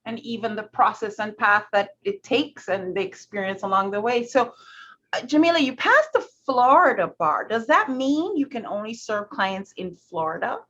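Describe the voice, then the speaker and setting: A woman host with a fairly high voice asks questions and gently encourages listeners to come forward. The recording sounds dull and lo-fi, as if the highs were cut off. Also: lively intonation, normal speed. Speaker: interviewer. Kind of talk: interview questions.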